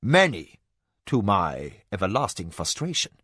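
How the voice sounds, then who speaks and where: A man's voice: mid-range voice, sing-song, normal speed, fairly bright tone, no echo, clean studio sound. A man voicing a shrewd male spymaster who speaks firmly and bluntly to his master. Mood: wry. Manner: firm, clipped.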